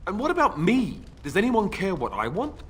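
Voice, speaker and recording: Casting: young royal heir, fantasy game, high-pitched for a man, very animated, unsure of himself. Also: normal speed, a little hiss or hum, heavily acted. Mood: flustered, protesting. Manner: plaintive, hesitant. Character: young reluctant heir to the throne, boyish, unsure warrior.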